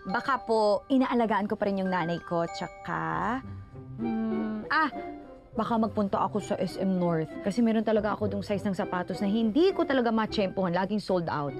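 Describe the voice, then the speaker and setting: A woman's voice: mid-range voice, moderate pace, over music, close to an everyday voice. A woman playing an awkward, cynical young job applicant in a comedy film, hesitant and casual. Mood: rambling, offhand. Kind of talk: naturalistic comedy film acting.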